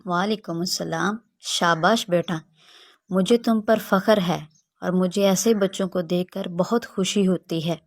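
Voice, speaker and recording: Narrator lady doing a kind village teacher, lowish voice, encouraging, earnest, kids' moral story. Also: steady tone, a little slow. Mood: proud. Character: kindly, encouraging female village schoolteacher. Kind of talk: acted children's moral story.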